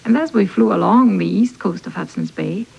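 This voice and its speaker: A woman's voice: mid-range voice, moderate pace, gravelly, a little mellow, breathy edge, muffled recording. A woman giving a reflective account as a documentary interviewee, on an archival radio recording.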